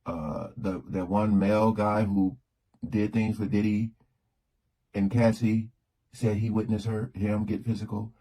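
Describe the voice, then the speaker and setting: A man giving casual opinion commentary, halting and repeating his words as he thinks aloud. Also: lowish voice, level tone, warm tone, poor audio.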